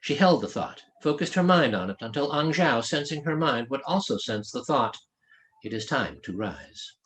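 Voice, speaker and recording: A man's voice: fairly high pitch, animated, moderate pace, a bit bright. A man reading his own fantasy novel aloud as scripted audiobook narration.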